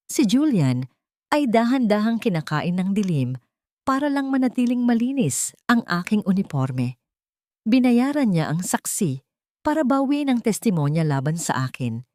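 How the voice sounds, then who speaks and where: A woman with a Filipino accent reads a dramatic first-person story in a solemn, deliberate, theatrical way. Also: deep voice, a little mellow, no echo, clean studio sound. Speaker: audiobook narrator.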